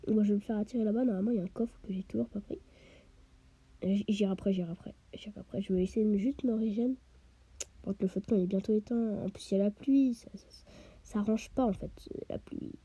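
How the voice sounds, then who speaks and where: A woman giving chatty let's play commentary while she plays, often dropping to a half-whisper and tossing in quick interjections, a bit stuffy with a cold. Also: mid-range voice, steady tone, mellow, slightly rough, no room sound. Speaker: gamer.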